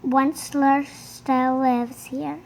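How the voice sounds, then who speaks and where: High-pitched young girl reading slowly. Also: flat delivery, creaky, a little mellow, rough recording. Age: child. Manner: sounds out hard words, repeats after a helper. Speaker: beginning reader reading a storybook aloud. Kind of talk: halting read-aloud of a rhyming storybook.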